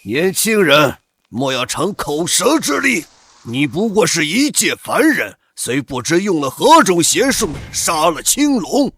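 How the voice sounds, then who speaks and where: A man playing a haughty old guardian, very animated. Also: a little high, a little slow, husky, exaggerated voice. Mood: condescending. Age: older. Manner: pompous, condescending, theatrical. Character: haughty old male cultivator, senior sect guardian. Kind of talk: dramatic fantasy animated comic voice acting.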